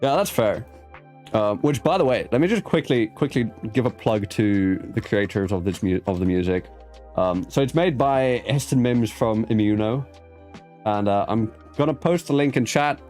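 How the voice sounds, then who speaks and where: Chatty South African man, low voice. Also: animated, moderate pace. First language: Afrikaans. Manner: chatty, rambling with many restarts. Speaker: host. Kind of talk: casual interview chat. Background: soft background music.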